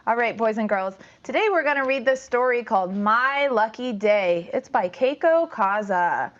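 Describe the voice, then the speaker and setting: A woman's voice: fairly high pitch, animated, normal speed, dull audio. A woman reading a storybook to young children as their teacher, explaining words and prompting them to join in. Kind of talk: interactive storybook read-aloud.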